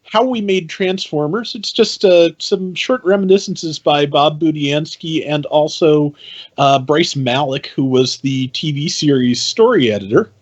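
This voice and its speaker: A man's voice: fairly high pitch, a little slow, dull audio. A man on a podcast, casually talking through news items as one of the panel. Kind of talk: casual news rundown and chat.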